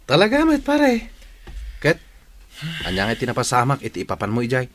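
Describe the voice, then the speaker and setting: A man's voice: mid-range voice, wildly swooping pitch, fairly quick, fairly bright tone, a little hiss or hum. A man playing a chatty, hospitable village buddy in an Ilocano comedy radio drama, with an Ilocano accent. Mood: gossipy, concerned.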